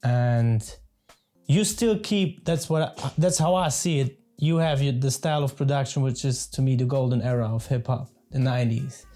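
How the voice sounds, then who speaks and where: A man's voice: mid-range voice, moderate pace, mellow, faint music behind. A man hosting a casual interview, asking friendly follow-up questions and often repeating words as he goes.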